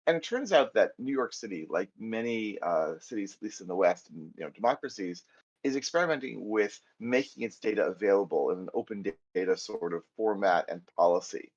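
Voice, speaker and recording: A man's voice: fairly low pitch, lively intonation, fairly quick, lo-fi sound. A middle-aged man with an American accent, giving a chatty live coding lecture and thinking aloud as he types. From United States. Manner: chatty, self-deprecating, thinks aloud while typing. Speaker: programming instructor.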